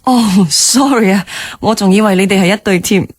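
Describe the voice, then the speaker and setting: A woman's voice: mid-range voice, moderate pace, natural voice. An actress playing a candid, self-possessed, wistful former girlfriend in radio drama dialogue. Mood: probing, friendly. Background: music bed.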